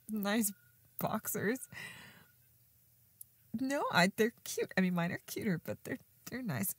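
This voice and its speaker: A shy, tender young woman in love, acted by a woman for a romantic audio roleplay. She speaks slowly and haltingly in a very breathy, soft voice that often slips into whispers, flustered and affectionate, close to the microphone. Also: on the high side, no room sound, exaggerated voice. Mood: flustered, playful. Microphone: close microphone, dry.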